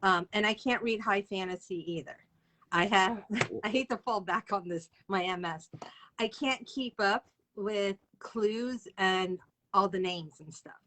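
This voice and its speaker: A woman with a mid-range voice hosts a casual live interview. She talks in a chatty, informal way and is quick to laugh. The delivery is conversational and unscripted. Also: mid-range voice, pushed and bright, slight background noise. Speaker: interview host.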